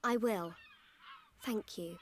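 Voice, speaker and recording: An actress playing a gentle, devoted young woman who is a fighter. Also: medium pitch, slow, slight background noise, lightly acted. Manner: warm and devoted.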